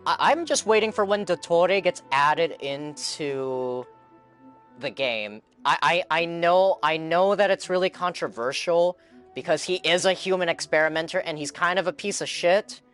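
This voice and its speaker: A man's voice: on the high side, normal speed, a bit bright, smooth. A young man chatting casually as a game streamer, rambling earnestly with a fan's enthusiasm and repeating his phrases. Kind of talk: casual live-stream chat, game reaction. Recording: game music in the background.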